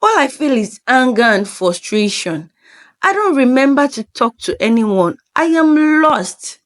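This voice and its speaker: Casting: a woman as a desperate young wife in a narrated folktale, slow, trembling and pleading. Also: high-pitched, big pitch swings, pitched above the performer's own voice. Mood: anguished. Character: distraught young wife, desperate, fearful, confessing to her mother.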